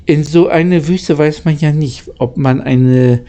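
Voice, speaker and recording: A man voicing an earnest, easily worried young boy traveller in a storybook, speaking haltingly. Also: a little high, dark tone. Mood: uncertain.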